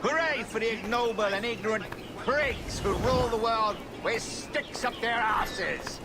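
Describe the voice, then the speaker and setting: A man's voice: very high voice, a little slow, heavy background noise. A man playing a drunken, sarcastic English governor in a video game cutscene, with pompous oratory over music. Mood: bitter mock cheer. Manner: pompous, mock-celebratory oratory. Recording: music behind the voice.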